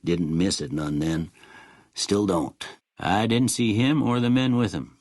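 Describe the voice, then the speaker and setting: An actor playing a kindly, world-weary old small-town doctor in a video game, with a husky voice and a folksy, rustic American drawl. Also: male voice, lowish voice, steady tone, measured pace, darkish tone, no room sound, studio quality. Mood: calm, matter-of-fact. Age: older. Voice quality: husky, gravelly. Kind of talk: video game character dialogue.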